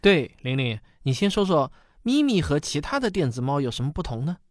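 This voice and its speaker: A man's voice: a little high, big pitch swings, a little fast, no room sound, studio quality. A man playing a caring father in a radio drama, talking in a patient, explanatory way. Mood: patient, explaining.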